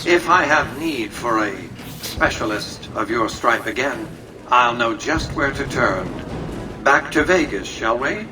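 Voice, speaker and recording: A suave, calculating male tycoon from a video game, voiced by an actor, speaking at a medium pitch. He is measured, formal and grandiose, heard slightly away from the mic over clear music. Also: animated, far from the mic, natural voice. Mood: self-satisfied, grandiose.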